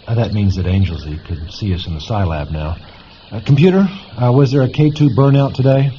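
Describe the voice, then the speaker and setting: A man playing a matter-of-fact space station chief in a science fiction radio drama, measured and explanatory with dry humor. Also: on the low side, animated, slight background noise, close to an everyday voice. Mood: businesslike. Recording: muffled lo-fi audio with music behind.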